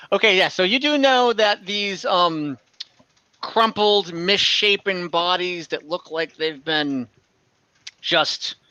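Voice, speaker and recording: A man with a bright, slightly high voice runs a tabletop game as game master. He is easygoing and improvises his narration. The sound is dry, on slightly dull voice chat audio. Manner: easygoing, loose with rules. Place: online voice call, dry close sound.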